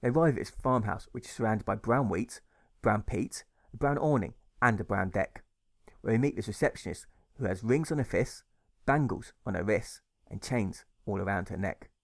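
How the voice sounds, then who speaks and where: A man's voice: lowish voice, moderate pace, no echo. Man narrating rhythmically, dry close sound. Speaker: author reading his own audiobook. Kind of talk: scripted storybook narration in rhyme.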